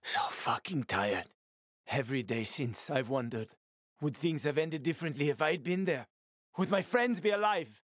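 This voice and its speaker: A man's voice: fairly high pitch, lively intonation, normal speed, no echo, studio quality. An actor playing a world-weary, streetwise male survivor in a video game, with a gritty, sardonic, foul-mouthed manner and dramatic delivery. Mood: weary, regretful.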